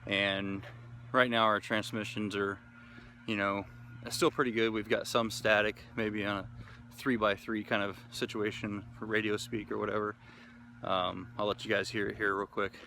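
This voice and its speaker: A man's voice: lowish voice, flat delivery, moderate pace, gravelly, sharp tone, slight background noise. An American man from the Kansas City area giving a casual, rambling gear review and field test, full of fillers and restarts. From Kansas City area, USA. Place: outdoors in wooded hilly area.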